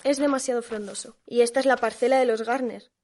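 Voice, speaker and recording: A woman's voice: on the high side, steady tone, fast talker, a little mellow, close to an everyday voice. An actress playing a wary local townswoman who warns a stranger away, terse and dry, in an audio drama. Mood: ominous warning.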